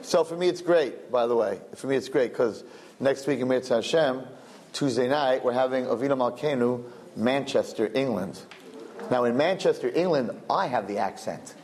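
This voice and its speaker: A man's voice: mid-range voice, moderate pace, a little mellow, a little hiss or hum. A man with a Brooklyn accent hosting a live-streamed event, rambling and joking about himself as he makes announcements. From Brooklyn, USA. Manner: chatty, rambling, self-joking. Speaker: event host.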